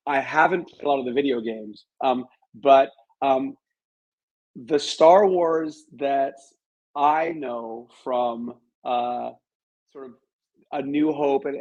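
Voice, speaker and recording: A man's voice: mid-range voice, a little mellow, rough recording. A man talking as an interview guest, giving his opinions in an analytical, emphatic conversational way.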